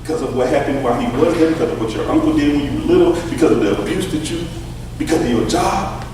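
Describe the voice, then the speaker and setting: Male pastor, high voice, folksy sermon, booming reverberant church, caught from a distance. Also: fast, noisy background. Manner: swings between low intimate talk and shouted preaching; folksy, teasing the congregation. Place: large church hall. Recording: echoey hall from far off. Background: congregation responding.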